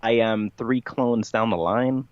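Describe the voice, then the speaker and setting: A man with a fairly low voice speaks as a podcast guest. He tells his story in a casual deadpan, halting but matter-of-fact. The recording is dry and a little dull. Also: dry recording. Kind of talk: casual interview storytelling, played straight. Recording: dull, slightly lo-fi podcast audio.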